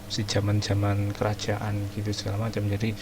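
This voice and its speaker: A man's voice: on the low side, completely flat delivery, fast talker, background noise. A man with an Indonesian accent doing casual, slangy commentary as he plays a game, often muttering to himself. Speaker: gaming streamer. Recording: game music behind the voice.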